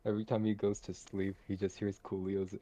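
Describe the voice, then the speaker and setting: A man's voice: fairly low pitch, flat delivery, fairly quick, dark tone, dry recording. A young man bantering casually and jokingly while commenting on a game, using plenty of slang. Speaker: esports scrim commentator.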